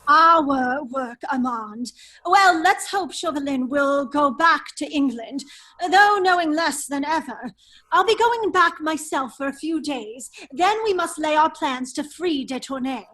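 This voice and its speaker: Woman playing a high-voiced daring English gentleman hero. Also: expressive, a little slow, exaggerated voice. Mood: confiding, wry. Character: daring English baronet hero, a man leading a secret double life. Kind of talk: table read of a 1930s-style radio drama.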